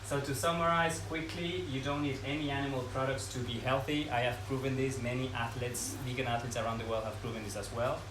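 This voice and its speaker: A man's voice: mid-range voice, flat delivery. A man from Ecuador speaks earnestly to a live audience and lays out his points one by one. He is in his thirties, and the mic sits far from him. The room is echoey and there is noise around him. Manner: earnest, unhurried, lists ideas one by one. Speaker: speaker at a talk. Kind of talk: informal talk to a live audience.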